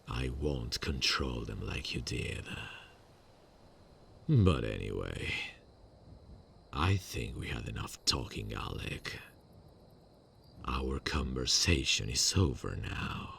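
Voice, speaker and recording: A man playing a gravelly, slow serial killer. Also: far from the mic, a little hiss or hum, full character voice. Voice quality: low, gravelly, unhurried. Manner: quiet and menacing, sweet on the phone. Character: calm, soft-spoken vigilante serial killer, adult man. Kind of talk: ASMR audio drama.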